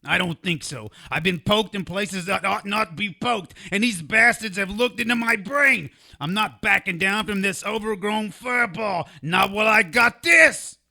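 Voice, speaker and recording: A brash, cranky male sidekick, played by an actor in an audio drama, always griping and exasperated. His voice is high and sharp with a gravelly creak, swinging in pitch as he wisecracks. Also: a little slow, hardly any pauses, heavily acted. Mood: defiant. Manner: exasperated, complaining, mouthy. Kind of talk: comedic audio drama acting.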